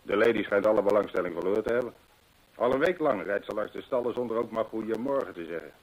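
A low, husky male voice playing an anxious horse trainer in a radio play. He is gossipy and emphatic, telling things as a confidant would. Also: fast, poor audio. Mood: worried, confiding. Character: talkative, anxious English horse trainer Mason. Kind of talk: Dutch radio drama acting.

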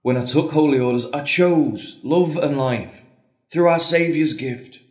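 Actor as gentle devout priest, man, audio drama, solemn, earnest, slightly high voice, echoey. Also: unhurried, close to an everyday voice. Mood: solemn, resolved. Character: John, devout, tender, doubting priest facing the end. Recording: echoey, roomy sound.

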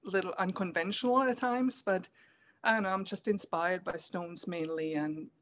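A middle-aged woman from Germany, a guest on a casual video call, speaking softly and hesitantly with frequent pauses. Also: fairly low pitch, steady tone. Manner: soft-spoken, hesitant, many ums, laughs often. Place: room at home, indoors. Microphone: laptop webcam video call.

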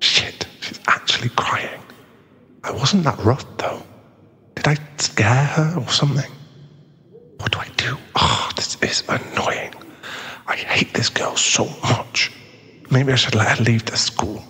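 A man playing a bitter, heartbroken young school bully in an audio roleplay, scornful and aggrieved, with a gruff protective streak. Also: medium pitch, measured pace, sharp tone, in a whisper, full character voice. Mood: muttering, uneasy. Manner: aggrieved, swearing, scornful, dropping into breathy muttering. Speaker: ASMR roleplay voice actor. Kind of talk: ASMR boyfriend audio roleplay.

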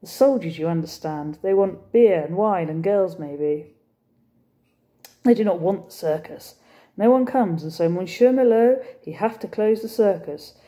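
A woman's voice: mid-range voice, animated, a little slow, dark tone, natural voice. A woman doing a mournful French cafe owner. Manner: broken English, mournful, emphatic. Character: middle-aged male French cafe owner, weary, sorrowful. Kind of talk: storybook character voice.

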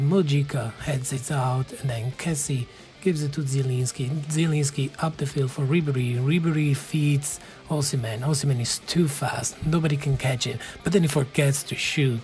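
A man in his thirties with an Italian accent, narrating his own football game footage in a calm, matter-of-fact play-by-play. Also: mid-range voice. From Italy. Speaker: gaming channel host. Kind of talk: game commentary voice-over.

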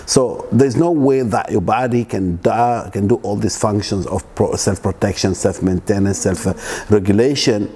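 A middle-aged man from East Africa giving an informal wellness lecture at home, halting, with many restarts and fillers. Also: on the low side, mellow, a little hiss or hum. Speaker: wellness presenter. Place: living room at home, indoors.

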